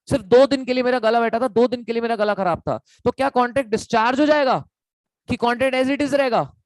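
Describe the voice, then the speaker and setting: A young man with an Indian accent and a sore, hoarse throat, teaching a chatty live online law lecture and repeating points to his students. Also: high-pitched, no room sound, poor audio. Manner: chatty, repetitive, calls students beta.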